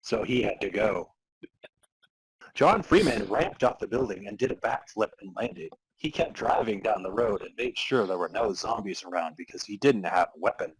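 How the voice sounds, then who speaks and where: A man's voice: lowish voice, animated, normal speed, husky, no echo, rough recording. A man reading amateur fan fiction aloud as narrator, in a mock-serious dramatic storytelling style. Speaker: podcast host reading as narrator.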